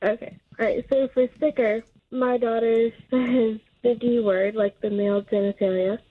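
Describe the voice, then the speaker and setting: Over a thin, narrow phone line, a woman with a fairly high voice talks casually. She is a radio caller sharing a personal anecdote. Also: fairly even intonation, normal speed.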